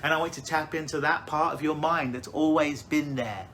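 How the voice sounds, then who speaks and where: A man leading a guided hypnosis visualization, speaking in slow, soothing, suggestive phrases. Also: a little high, steady tone, fairly bright tone, slight background noise.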